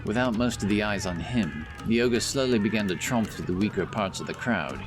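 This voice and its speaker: A man's voice: fairly low pitch, animated, a little fast. A man reading scripted fantasy narration as an audiobook narrator, with background music behind him. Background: background music and effects.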